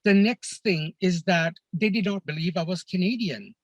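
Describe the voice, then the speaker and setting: A man from Kenya, a podcast guest, telling stories of his life abroad in an earnest, measured way, often pausing on "uh" and "you know". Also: sits high, no echo. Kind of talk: reflective storytelling in a conversation.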